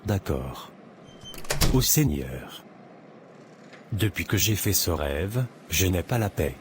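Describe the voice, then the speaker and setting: Man doing a worried young guy, very low voice, earnest, cartoon. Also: sing-song, takes it slowly, noisy background, full character voice. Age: young adult. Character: troubled young man, law student.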